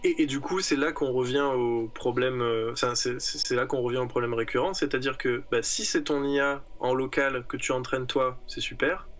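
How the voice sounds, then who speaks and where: A man's voice: medium pitch, steady tone, normal speed, few pauses, fairly bright tone. A man co-hosting a casual podcast discussion, thinking aloud and repeating words with many fillers. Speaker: podcast co-host.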